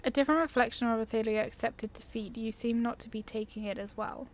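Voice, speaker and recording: A woman's voice: on the high side, fairly even intonation, quick pace, a little hiss or hum. A woman doing casual let's play commentary, reacting to the game in a light, chatty way. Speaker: gaming video creator. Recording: clear game music behind voice. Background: game music.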